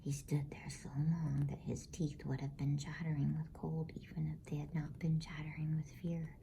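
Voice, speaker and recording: A woman reading a storybook as ASMR narration in a hushed, soothing, unhurried whisper close to the microphone. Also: low-pitched, flat delivery, gravelly, slight background noise. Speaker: bedtime story reader.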